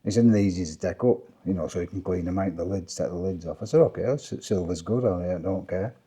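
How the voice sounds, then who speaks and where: A man's voice: deep voice, fast, mellow, breathy edge. A middle-aged man with a Lancashire accent, chatting at a home kitchen table and rambling through retold conversations. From Burnley, England. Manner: rambling, retells conversations with quoted speech. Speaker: vlogger. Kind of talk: casual kitchen table chat. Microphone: clip-on mic.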